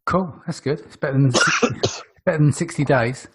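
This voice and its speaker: A man's voice: fairly high pitch, measured pace, a bit bright, breathy edge. A man hosting a podcast, chatting casually, with brief agreements and questions that steer his guest. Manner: short agreeing interjections and prompting questions. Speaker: podcast host.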